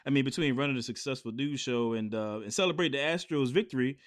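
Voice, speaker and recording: A man's voice: medium pitch, fast. Friendly easygoing male podcast host. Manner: friendly, easygoing, laughs along. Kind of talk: scripted intro, then casual interview.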